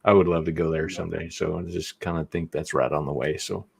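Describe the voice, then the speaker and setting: A man with a deep voice and a flat, even delivery. He chats casually as the co-host of a podcast. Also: quick pace, vocal fry, breathy edge. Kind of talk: casual chat.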